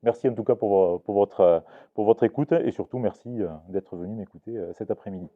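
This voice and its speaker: Male lecturer, lowish voice, halting with restarts, tinny phone-like recording. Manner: hesitant, many restarts and fillers. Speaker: Occitan teacher giving a talk. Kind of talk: live lecture to an audience. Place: book fair talk.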